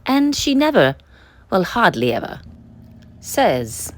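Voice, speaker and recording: Husky, animated woman storyteller. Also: mid-range voice, slow. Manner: expressive, emphatic storytelling. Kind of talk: children's picture book read-aloud.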